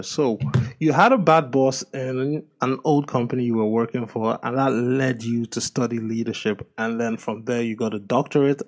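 A man hosting an interview podcast, introducing his guest and asking upbeat questions. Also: medium pitch. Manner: upbeat, reads sponsor copy then asks questions. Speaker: podcast host.

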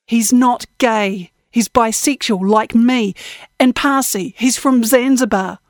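A woman's voice: a little high, takes it slowly, no room sound, clean studio sound. A woman voicing a tough, hot-tempered young woman with a blunt, combative way of talking in an audiobook. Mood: defiant.

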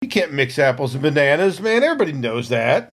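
A man's voice: a little high, sing-song, fast talker. A man playing a boisterous, hard-drinking space Marine in a tabletop game, slangy, teasing and incredulous. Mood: boisterous, amused. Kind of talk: improvised tabletop roleplay.

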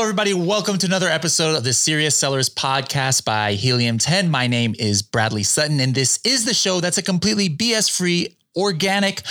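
A man's voice: a little high, pushed and bright. A man hosting a podcast interview in a studio, upbeat and joking in casual conversation. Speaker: podcast host.

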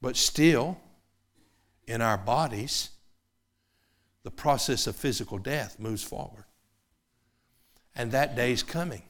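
A man, preaching a sermon. His delivery swings from loud, fervent preaching to quiet personal asides. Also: medium pitch, takes it slowly, pauses often. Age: 50s.

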